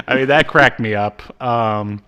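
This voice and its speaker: A man's voice: mid-range voice, sharp tone, clear, resonant tone. A man co-hosting a podcast, chatting casually and recapping a show in a sardonic, jokey way. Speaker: podcast co-host. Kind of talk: casual comedic chat recapping a cartoon.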